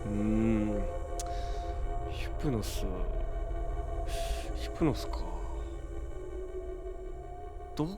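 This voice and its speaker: An actor playing a brash, warm-hearted young man with casual, slangy speech in a Japanese audio drama. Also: on the low side, slow pace, over loud music. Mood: drowsy.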